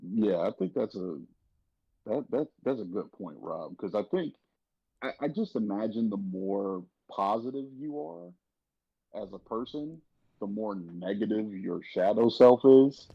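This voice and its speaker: A man's voice: on the low side, expressive, measured pace, gravelly, husky, no echo. A man in casual chat, wry and rambling, repeating words for emphasis, on a slightly dull close recording. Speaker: cast member on a panel.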